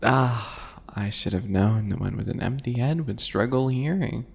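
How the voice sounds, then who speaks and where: A man playing a small, smug, obsessive yandere in an ASMR roleplay, slow and mock-sweet, with a breathy, gravelly voice that drops into whispers. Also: medium pitch. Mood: taunting.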